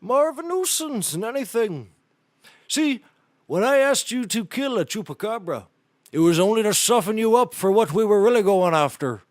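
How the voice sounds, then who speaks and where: Man playing a high-voiced, folksy eccentric oddball. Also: lively intonation, normal speed, no echo, clean studio sound. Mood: conspiratorial, dramatic. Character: eccentric odd-jobbing man pitching a monster hunt. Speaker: actor in scripted comedy series. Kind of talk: comedic audio drama acting.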